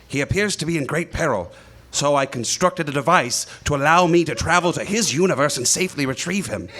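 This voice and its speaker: A man's voice: on the high side, animated, brisk, fairly bright tone. A man playing a polite, bewildered scientist who speaks formally and earnestly in a sci-fi comedy radio play.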